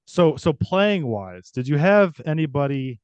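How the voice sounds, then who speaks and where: Relaxed male host from Missouri. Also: a little high, unhurried, smooth, dry recording. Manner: relaxed, brief affirmations. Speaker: podcast host. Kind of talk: casual interview chat. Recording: dry, slightly dull mic.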